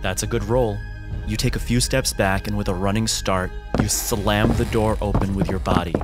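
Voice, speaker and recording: A man narrating a tabletop roleplaying game as game master, in a measured, descriptive and dramatic storytelling manner. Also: fairly low pitch, fairly even intonation. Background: music.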